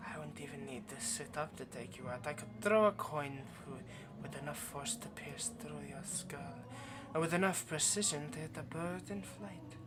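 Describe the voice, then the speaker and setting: A performer plays a confident vampire in an audio roleplay who toys with prey. The delivery is teasing and condescending, with a possessive, predatory edge. Music plays clearly behind the voice. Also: medium pitch, moderate pace, hardly any pauses, close to an everyday voice. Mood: menacing, possessive. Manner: teasing, condescending, drops to whispers. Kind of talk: ASMR roleplay monologue.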